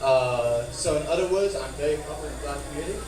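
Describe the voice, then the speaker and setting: A man doing rambling, profane, self-deprecating stand-up, with a speech impediment that softens his R sounds. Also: fairly high pitch, fast. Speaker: stand-up comedian. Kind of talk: stand-up comedy at an open mic. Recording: echoey, noisy room, far from mic.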